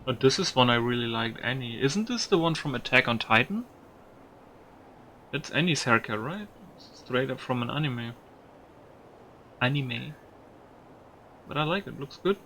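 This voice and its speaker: Bright-voiced man with a gravelly creak. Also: mid-range voice, expressive, unhurried, pauses often, a little hiss or hum. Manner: musing aloud, mildly profane. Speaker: video game streamer. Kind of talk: casual gameplay commentary.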